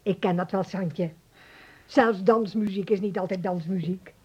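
An actress playing a gentle, wry, philosophical aunt in a Dutch radio play, musing and soothing. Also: female voice, medium pitch, steady tone, measured pace, dull audio, slight background noise, close to an everyday voice.

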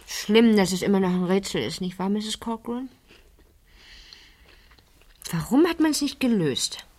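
A woman's voice: mid-range voice, expressive, a little slow, a little mellow. A woman playing an insistent, inquisitive fifteen-year-old girl in a German radio crime drama, with an intense, pressing delivery. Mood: pleading, insistent.

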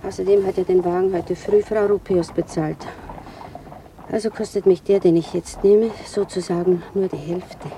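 An actress playing a wistful young woman in a radio drama, musing haltingly to herself. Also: on the low side, fairly even intonation, mellow, slight background noise, lightly acted. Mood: fretting. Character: dreamy, wistful woman lost in her own thoughts. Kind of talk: radio drama interior monologue. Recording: distant microphone, music behind. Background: music.

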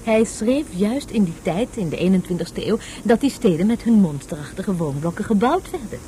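A woman's voice: mid-range voice, lively intonation, moderate pace, warm tone, a little hiss or hum, natural voice. An actress playing a patient woman tutor in a radio play, explaining things didactically as if to a child. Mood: emphatic. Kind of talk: Dutch radio play acting.

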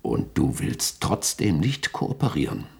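A husky, creaky-voiced, domineering chief of state security in a radio drama. He is impatient and insistent, his low voice swinging sharply as he demands answers. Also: huge pitch swings, measured pace, heavily acted. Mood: exasperated. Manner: insistent, commanding, irritable. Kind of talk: German radio play acting.

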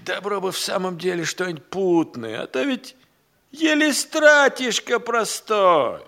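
An actor playing a hungry, idle, crafty manservant in an audio play, grumbling and sighing in folksy, speech. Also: male voice, high voice, vocal fry, fairly bright tone, heavily acted. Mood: weary, disgruntled. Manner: folksy, drawling, sighing, grumbling, relishing his words. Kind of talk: theatrical audio-play monologue.

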